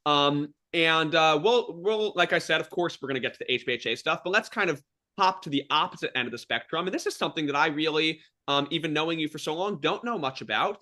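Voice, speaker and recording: A man's voice: on the high side, expressive, fast, bright, forceful tone. A man hosting a casual conversational interview, speaking in an enthusiastic, affirming manner. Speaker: podcast host interviewing a former colleague; school teacher.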